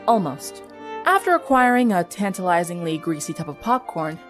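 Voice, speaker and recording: Woman narrating a story over music. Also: mid-range voice. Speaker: fan fiction narrator. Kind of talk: scripted dramatic story narration. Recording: music under the voice.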